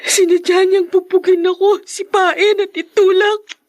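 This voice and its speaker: A poor young maid in a radio serial. Her voice is very high and recorded dry. She speaks with raw emotion, heartbroken and bitter toward those who wronged her. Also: flat delivery, unhurried, a little mellow, no room sound. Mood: bitter, resolute. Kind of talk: Tagalog radio drama acting.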